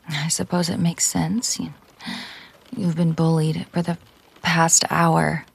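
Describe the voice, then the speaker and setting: Casting: actress as possessive mafia boss girlfriend for intimate audio roleplay; dark, unhurried, hushed voice that drops into whispers, controlled and coolly tender. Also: on the low side, full character voice. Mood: reluctantly tender. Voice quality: soft, breathy, often slipping into whisper. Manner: slow, deliberate, coolly possessive. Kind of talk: intimate roleplay audio drama, soft slow delivery.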